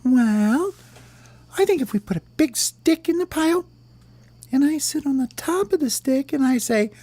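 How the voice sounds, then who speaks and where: A man voicing a small, eager, cheeky worm in a squeaky falsetto for a children's story. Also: moderate pace, dry recording. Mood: eager, coaxing. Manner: coaxing, excitable, stretched-out calls.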